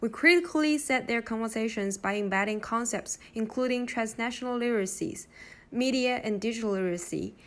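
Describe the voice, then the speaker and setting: Woman presenter reading a script. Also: fairly high pitch. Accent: Chinese. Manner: measured, reads deliberately. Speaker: student presenter. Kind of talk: scripted narration.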